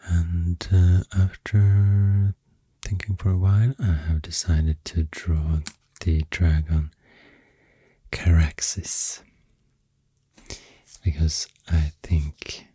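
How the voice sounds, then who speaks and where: A man with a Swedish accent rambles in soft-spoken, near-whispered ASMR, gentle and unhurried, close to the microphone. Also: no room sound. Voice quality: breathy, near-whispered deep voice. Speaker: ASMR video host.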